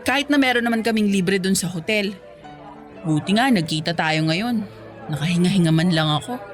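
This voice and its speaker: An actress plays a gossipy single career woman in a Filipino radio drama. Her mid-pitched voice is breezy and colloquial, with a teasing, candid way of talking. Faint music plays behind her. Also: expressive, fairly quick, lightly acted. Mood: chatty, teasing.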